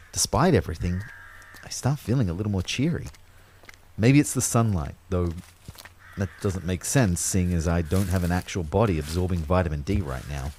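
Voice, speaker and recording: A man's voice: low-pitched, darkish tone, slight background noise. An actor playing a wry, uneasy young man who narrates his own afterlife in an audio drama, speaking in a hesitant, conversational way. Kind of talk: first-person audio drama narration and dialogue.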